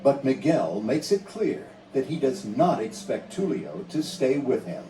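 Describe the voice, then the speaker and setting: Measured, expressive male storybook narrator. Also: mid-range voice, echoey room, distant mic, a little hiss or hum, rough recording. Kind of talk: scripted storybook read-along narration.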